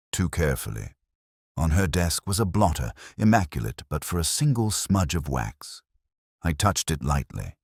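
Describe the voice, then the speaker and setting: A man performs a brooding police inspector telling his own case in an audio drama. The voice is exceptionally deep yet moves widely in pitch. The phrasing is measured, literate and reflective, recorded dry with almost no room sound. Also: no room sound, full character voice. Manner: measured, solemn, reflective, precisely worded. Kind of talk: scripted first-person detective audio drama narration.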